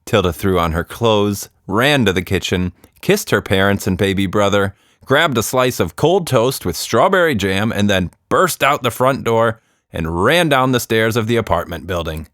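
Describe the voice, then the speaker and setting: A man hosting and narrating a children's story podcast in a gently humorous way. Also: on the low side, expressive, normal speed, dry recording, studio quality.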